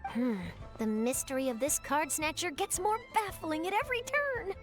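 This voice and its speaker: A woman's voice: extremely high pitch, very animated, moderate pace. A woman voicing an eager, bubbly young reporter in an anime game, enthusiastic and inquisitive. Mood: curious. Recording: soft game music behind.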